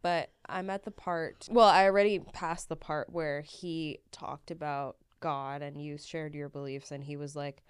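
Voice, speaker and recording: A woman's voice: low voice, steady tone, unhurried, hardly any pauses, clean tone, no echo, studio quality. A young adult woman co-hosting and producing a podcast, chatty and casual with lots of "like". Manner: chatty, uses "like" a lot, laughs easily.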